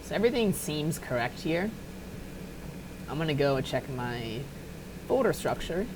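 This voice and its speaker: A woman giving a casual, unedited software tutorial in a rambling, self-deprecating, apologetic way. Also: deep voice, sing-song, a little slow, background noise. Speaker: tutorial maker.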